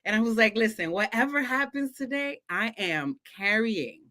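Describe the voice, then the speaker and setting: A woman coach who stutters a lot on first sounds, talking in a relaxed podcast chat. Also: a little high, moderate pace. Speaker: confidence coach and guest.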